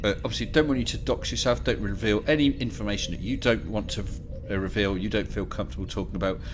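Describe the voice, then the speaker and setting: A man's voice: lowish voice, fast, noisy background. A man hosting a live call-in stream, chatting casually and joking a lot. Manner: jokey, chatty, laughs often. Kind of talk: casual chat.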